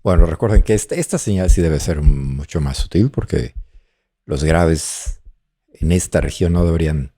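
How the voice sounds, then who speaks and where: A man presenting a music production tutorial, explaining as he demonstrates and thinking aloud in short phrases with pauses. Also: a bass voice, warm tone. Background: drum loops playing.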